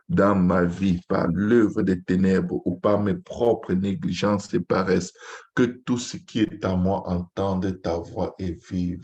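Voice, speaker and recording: A man's voice: deep voice, a little mellow. A man leading a fervent, improvised Pentecostal prayer as a pastor, pleading in repetitive, chant-like invocations.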